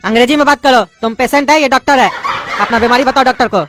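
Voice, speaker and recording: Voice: high-pitched, fast talker, over music, close to an everyday voice. A voice actor playing an irritable male English teacher, scolding his class in an exasperated tone.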